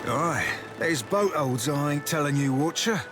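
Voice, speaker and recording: An actor playing a cocky, foul-mouthed little pirate rogue in a video game, talking in salty, swaggering pirate dialect. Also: male voice, fairly high pitch, lively intonation, measured pace, music in the background. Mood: playful. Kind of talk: video game character dialogue.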